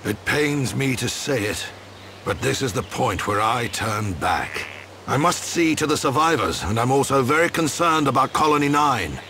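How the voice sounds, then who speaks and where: A man's voice: mid-range voice, lively intonation, normal speed, bright, forceful tone, slight background noise. A man playing a formal, solemn and dutiful military leader in a video game cutscene. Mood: solemn, grateful.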